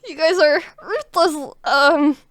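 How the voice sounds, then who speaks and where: A young woman who streams games, giving gushing, excitable reaction commentary. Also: sits high, takes it slowly, dry recording, clean studio sound. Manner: gushing, giggly, exclaiming. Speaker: game streamer. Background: game music.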